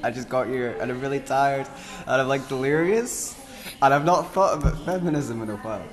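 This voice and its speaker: Loud, wry young man. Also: mid-range voice, a little fast, reverberant, in a large hall. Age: young adult. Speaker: podcast co-host. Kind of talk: casual chat. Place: busy cafe.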